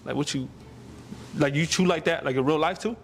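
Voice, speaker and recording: A man's voice: a little high, a little fast, creaky, slight background noise. A man casually interviewing someone, slangy and rambling.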